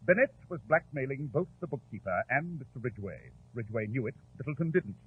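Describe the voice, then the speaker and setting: An actor playing a brilliant, coolly superior detective in a 1940s radio drama, speaking precisely and theatrically. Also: male voice, medium pitch, lively intonation, brisk, close to an everyday voice. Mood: calm, explanatory. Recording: tinny, noisy 1940s radio recording.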